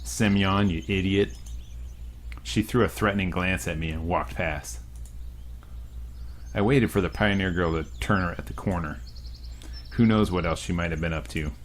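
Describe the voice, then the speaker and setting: Young man, deep voice, let's play host, reads game text, crude jokes. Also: normal speed, pauses often, slight background noise. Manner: crude joking asides. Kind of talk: reading a visual novel aloud with commentary.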